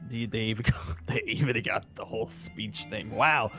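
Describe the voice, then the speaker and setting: A man's voice: on the low side, moderate pace, sharp tone, soft background music. A man playing a weary, cautious leader of a zombie-apocalypse safe haven in an improvised game roleplay, talking hesitantly and trailing off. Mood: puzzled, skeptical.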